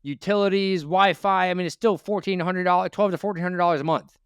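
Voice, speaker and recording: A man hosting a money audit show, talking in a blunt, lecturing way. His voice is smooth and clear, sitting a little higher than most men's. The sound is dry and close to the mic. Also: steady tone, fairly quick, fairly bright tone, dry recording. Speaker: host. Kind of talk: financial audit interview.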